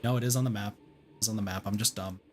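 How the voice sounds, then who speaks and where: A man's voice: on the low side, steady tone, fast talker. A man giving casual, reflective livestream commentary on a game in an informal way. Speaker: game streamer. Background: faint game music.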